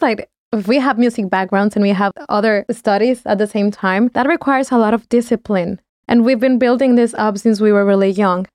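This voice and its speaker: A woman's voice: fairly high pitch, a little mellow, no room sound, clean studio sound. A woman in her twenties whose first language is Spanish, chatting in a loose, filler-heavy way as a podcast co-host. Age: 20s. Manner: chatty, many "like" fillers, self-correcting. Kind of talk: casual chat.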